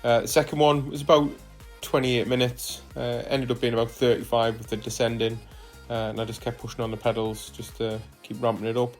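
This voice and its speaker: A man narrating footage of his own rides as a cycling vlogger, relaxed and hesitant, with frequent fillers. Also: medium pitch, a little fast, few pauses, faint music behind. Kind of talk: casual voice-over narration of ride footage.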